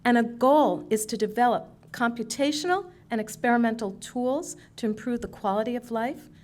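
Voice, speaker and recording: A woman introducing a speaker at an event, reading steadily and trailing off softer at phrase ends. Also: fairly high pitch, moderate pace. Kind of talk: read introduction. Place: lecture hall.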